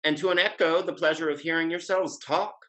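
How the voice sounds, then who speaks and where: Man acting a wry, lovesick gentleman suitor. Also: on the high side, fairly even intonation, fairly quick. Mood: sardonic. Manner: formal, sententious, wry. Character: witty, lovesick gentleman suitor, earnest and sardonic. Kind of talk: Restoration stage comedy acting.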